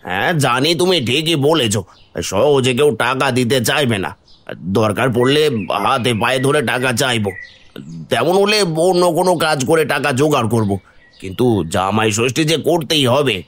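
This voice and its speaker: A man's voice: mid-range voice, animated, moderate pace, fairly bright tone, slight background noise, lightly acted. A man voicing a humble, anxious, impoverished middle-aged father-in-law in a cartoon, earnest and pleading. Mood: worried resolve. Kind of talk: Bengali cartoon voice acting.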